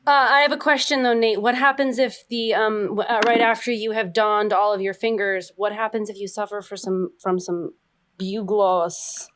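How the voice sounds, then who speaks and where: A woman's voice: medium pitch, steady tone, moderate pace, background noise. A woman telling comic anecdotes in casual chat and putting questions to a panel, with playfully dramatic emphasis. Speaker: panel professor posing quiz question.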